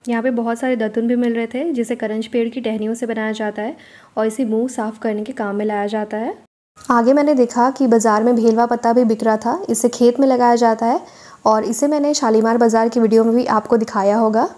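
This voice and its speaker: A woman's voice: a little high, fairly even intonation, a little fast, dark tone. A woman vlogger narrating a voice-over tour of a weekly market, listing goods and prices for her viewers.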